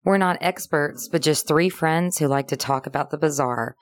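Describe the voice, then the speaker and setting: A woman's voice: sits low, moderate pace, no echo, studio quality. A woman co-hosting a podcast, chatting casually with friends, teasing and laughing often. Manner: giggly, teasing. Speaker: podcast co-host. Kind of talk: casual chat among friends.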